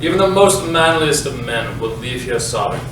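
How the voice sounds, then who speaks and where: A man's voice: medium pitch, animated, moderate pace, natural voice. A man playing a pompous, self-important playwright with a grandiose, declamatory delivery, in a comic stage reading. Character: pompous self-important male playwright, bitter about his ex-wife. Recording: echoey hall from far off, noisy.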